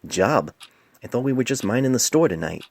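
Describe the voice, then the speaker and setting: A man's voice: medium pitch, animated, a little fast, gravelly, no echo, voice pushed up. A man voicing a young male pony resident doctor in a storybook reading, defensive, indignant and smart-aleck.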